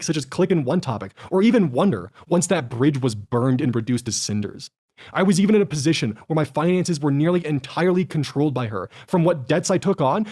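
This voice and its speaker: A man in his twenties reading a scripted personal statement in a measured, earnest way. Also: a little high, darkish tone. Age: 20s. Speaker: video creator reading a statement.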